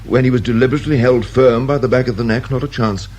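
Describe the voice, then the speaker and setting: An actor playing a genial, unflappable and self-satisfied pathologist with a British accent, breezy and avuncular in radio drama style. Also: male voice, mid-range voice, fairly even intonation, quick pace, darkish tone, natural voice. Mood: matter-of-fact. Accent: British English. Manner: breezy, avuncular, matter-of-fact. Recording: hissy old radio drama recording.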